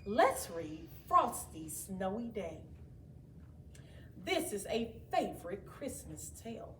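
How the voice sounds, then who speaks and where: A woman reading a children's story aloud in an expressive, deliberate way. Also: on the high side. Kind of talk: children's read-aloud narration. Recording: echoey room, distant mic, faint noise.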